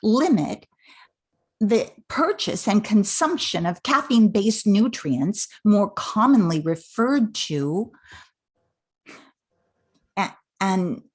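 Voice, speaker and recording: Older woman as a husky, patient grown daughter. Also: medium pitch, expressive, takes it slowly, long pauses, a little mellow. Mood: formal reading. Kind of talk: amateur radio play acting.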